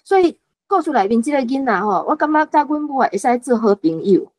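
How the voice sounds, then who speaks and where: Taiwanese female reader, high register, casual personal talk. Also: lively intonation, fairly quick, a little mellow. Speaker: reading group member.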